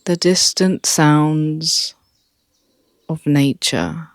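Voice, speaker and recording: Super slow calm meditation voice, soft, dry close mic, quiet birds in the back. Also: mid-range voice, dry recording. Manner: calm, soft, with long pauses. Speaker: meditation guide.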